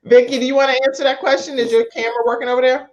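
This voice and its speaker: A woman's voice: high voice, very fast. Chatty woman hosting, slightly dull audio. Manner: chatty, expressive, informal. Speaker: talk show host. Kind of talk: casual live online talk show hosting. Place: live video stream from a studio setup. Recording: dull, lo-fi online call audio.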